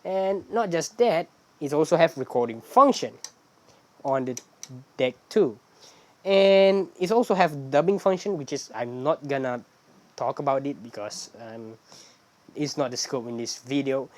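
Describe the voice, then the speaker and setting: A woman with a low-pitched voice hosts a casual explainer. She speaks non-native English with loose grammar. Her delivery is animated and often poses questions that she then answers. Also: measured pace. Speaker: video host.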